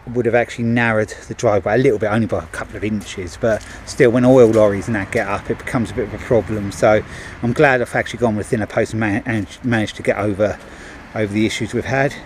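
A man's voice: fairly low pitch, steady tone, fast talker, slight background noise. Chatty man filming outdoors. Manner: chatty, many ums and restarts. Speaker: handyman. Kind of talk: casual on-the-job narration. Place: outdoors at a driveway gate. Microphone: body-worn action camera.

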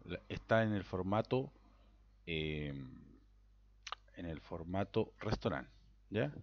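A Chilean man with a Chilean Spanish accent, his voice a bit low, talks through a software install on his computer. He rambles, repeats words and keeps checking in with listeners. The sound is dull and lo-fi, caught by a simple computer mic. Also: lively intonation, slow pace. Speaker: software tutor. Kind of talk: casual screen-recorded tutorial.

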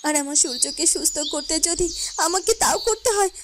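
A woman's voice: very high-pitched, expressive, brisk, background noise. A woman voicing a devoted, determined young heroine in a fairytale cartoon, earnest and pleading. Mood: anguished resolve. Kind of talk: dramatic fairytale cartoon dubbing.

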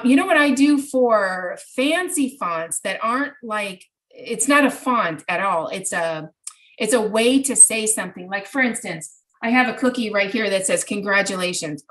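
A middle-aged woman speaks at a middling pitch as she presents an informal workshop over a video call. She answers questions step by step, giving practical examples as she goes. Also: lively intonation, a little slow, a bit bright, low-quality recording. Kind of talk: informal online workshop chat. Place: home craft room, indoors. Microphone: computer mic on a video call.

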